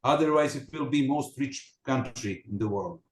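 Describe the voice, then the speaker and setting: A man's voice: medium pitch, animated. Man speaking English, roomy sound. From Serbia. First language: Serbian. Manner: halting, searches for words. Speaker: student in an online English class. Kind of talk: casual conversation class.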